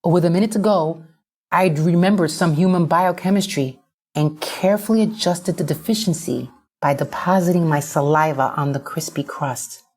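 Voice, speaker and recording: A woman reading a first-person short story as an audiobook narrator, expressive and dramatic. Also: on the low side, moderate pace.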